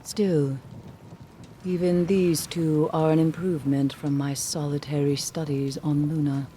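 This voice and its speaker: An actress playing a solemn, brooding mystic scholar in a video game, with formal, theatrical, dryly sardonic phrasing. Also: female voice, deep voice, little pitch movement, measured pace, dark tone, a little hiss or hum. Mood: weary, sardonic. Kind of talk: video game cutscene acting.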